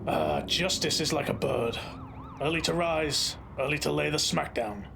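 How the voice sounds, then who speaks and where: An actor playing a hard-boiled, self-important lawman, narrating a noir audio drama in a pompous, brooding deadpan. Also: on the high side, moderate pace, creaky, noisy background.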